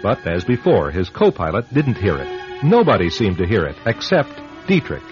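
A man's voice: on the low side, wildly swooping pitch, normal speed, faint music behind. A man narrating a radio suspense drama as a solemn, dramatic storyteller. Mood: solemn, suspenseful. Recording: dull old radio-style recording.

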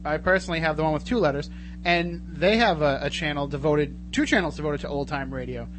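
A man with a slightly high voice hosts a radio interview in a casual, conversational way. Clear background noise and hum sit behind his voice throughout. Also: brisk. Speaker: radio show host. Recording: noisy radio broadcast with hum.

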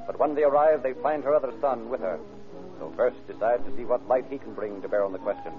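A man's voice: mid-range voice, fast. A man reading dramatic scripted narration in the style of old-time radio. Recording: narrow-band old radio recording over music. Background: music.

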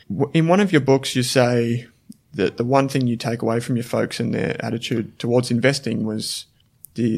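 Man, interview host, gravelly, asks questions, brief murmured replies. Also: medium pitch, a little mellow.

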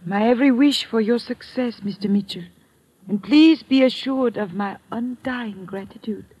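A woman's voice: a little high, moderate pace, a little mellow, slightly rough. An actress playing a gracious, demure lady with polite, careful, formal diction in an old radio drama. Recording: dull vintage radio recording.

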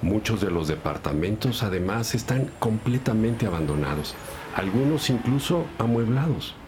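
A man's voice: on the low side, sing-song, moderate pace, noisy background. A man playing a polite, nervous building worker in his sixties who gives testimony in an audio drama. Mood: worried, courteous. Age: 60s. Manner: polite, deferential.

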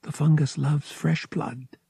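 A man voicing a guarded, soft-spoken young woman, a devout pilgrim worker, polite and reticent, in an audiobook. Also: a little high, level tone, takes it slowly, gravelly, husky, no echo, pitched above the performer's own voice, exaggerated voice. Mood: calm, dismissive.

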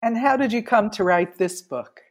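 A woman podcast host reading a formal introduction and asking interview questions in a measured way. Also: mid-range voice.

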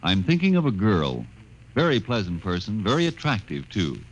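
A man's voice: on the low side, wildly swooping pitch, moderate pace, slight background noise, poor audio. A man delivering an earnest, persuasive public service appeal on 1950s radio.

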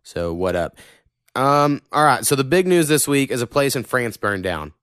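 A man's voice: mid-range voice, sing-song, normal speed, fairly bright tone, clean tone, dry recording, studio quality. A man with a slight Oklahoma country accent hosting a podcast, rambling and swearing freely in casual chat. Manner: profane, rambling and opinionated. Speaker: podcast host.

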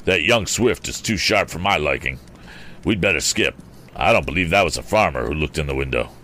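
A man's voice: a bass voice, animated, a little fast, pushed and bright, full character voice. A man voicing a wary, shifty tramp and petty crook in an audiobook, talking in an edgy, colloquial way. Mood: wary, urgent. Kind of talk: acted dialogue in an audiobook adventure novel. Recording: faint background noise.

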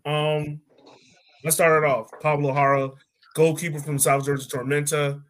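A man's voice: fairly high pitch, flat delivery, normal speed, a bit bright, slight background noise. A man hosting a sports podcast in a casual, conversational way. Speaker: podcast host.

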